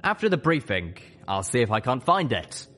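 A man voicing a big, clever male dragon in a storybook, wry and drawn-out in manner. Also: medium pitch, very animated, sharp tone, pitched above the performer's own voice. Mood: unsure.

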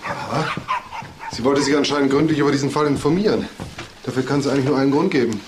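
A man's voice: mid-range voice, quick pace, noisy background, lightly acted. An actor playing a shrewd, commanding male detective chief in a German crime radio drama, probing and dryly sardonic. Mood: brisk, dry.

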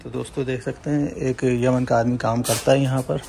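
A man's voice: medium pitch, level tone, a little fast, vocal fry, noisy background. A young man walking viewers through a large indoor supermarket, talking casually to them on a handheld phone. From India. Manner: conversational, keeps saying "friends" to viewers. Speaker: vlogger. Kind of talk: walking tour vlog.

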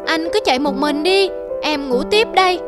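A woman's voice: high-pitched, animated, normal speed. A woman voicing a lazy, cocky little antelope, childish and sulky, in a cartoon. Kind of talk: cartoon voice acting. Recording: loud music under the voice.

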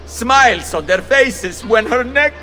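A grief-stricken, bitter Roman. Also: male voice, extremely high pitch, lively intonation, unhurried, bright, forceful tone, faint music behind, full character voice. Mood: anguished. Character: grieving, bitter middle-aged Roman man. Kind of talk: video game cutscene acting.

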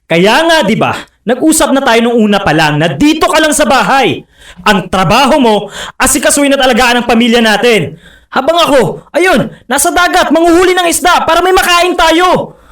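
An actor playing a proud, stubborn fisherman husband in a radio drama, who talks easily but quickly turns forceful and loud. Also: very high-pitched, lively intonation. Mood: heated. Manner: easygoing at first, then heated and raising his voice.

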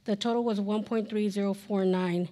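Woman in her 40s reading tax numbers at a podium mic, lots of ums and fix-ups, mid-pitched voice. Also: fairly even intonation, dark tone. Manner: halting, many fillers and self-corrections. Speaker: school district finance officer. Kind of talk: reading a public notice at a board hearing. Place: large council chamber, indoors. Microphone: podium microphone.

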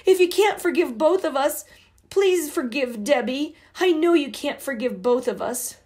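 A woman's voice: a little high, animated, unhurried. A woman voicing a remorseful little girl who pleads earnestly in a raised voice. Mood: pleading.

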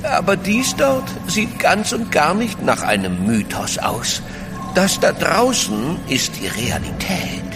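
A learned professor in a radio play. His voice is high and swoops in a lively, theatrical way, wordy and fussy. It rings with long reverb over clear music. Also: slow pace, full character voice. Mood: awed. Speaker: actor. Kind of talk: German audio drama acting.

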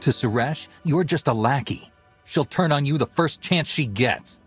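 A man's voice: mid-range voice, animated, measured pace, soft background music, natural voice. A man playing a stern, defiant commander in a video game, curt and scornful. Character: male heroic commander, stern, defiant, unimpressed.

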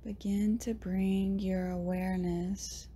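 Soft mellow woman doing guided meditation, super slow, gentle, almost whispery, lots of pauses. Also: mid-range voice, flat delivery, slight background noise. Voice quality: soft, breathy, often near whisper. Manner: gentle, soothing, long pauses between short phrases.

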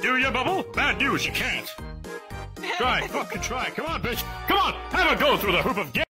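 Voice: mid-range voice, very animated, moderate pace, music in the background, lightly acted. An actor playing a manic, foul-mouthed cartoon circus ringmaster who teases gleefully like a theatrical showman. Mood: mocking. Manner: theatrical showman, profane, exclamatory. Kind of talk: animated comedy parody performance.

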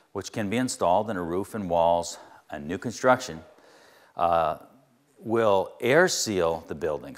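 A middle-aged man leading an explanatory on-site tour as a home energy contractor. He talks in an earnest, plain-spoken way, with frequent "uh" fillers. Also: deep voice. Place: indoors in a wood-walled barn and its concrete basement.

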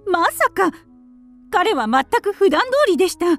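A high, lively female voice as an earnest little canary in a radio play. She tells her story politely and wistfully, with wide swings of pitch. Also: measured pace, soft background music, full character voice. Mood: distressed, insistent. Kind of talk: audio drama storytelling.

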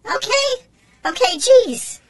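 A squeaky little tin can robot, super excited, sounds far from the mic. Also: male voice, takes it slowly, no room sound, heavily acted. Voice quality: squeaky, very high.

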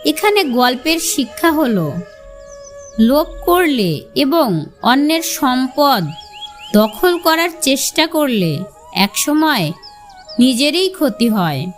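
A woman's voice: high voice, very animated, slow, pauses often, soft background music. A woman storyteller narrating a scripted fable for children.

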